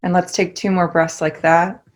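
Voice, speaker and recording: A young woman leading a guided meditation, giving soft, soothing instructions. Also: lowish voice, level tone, normal speed.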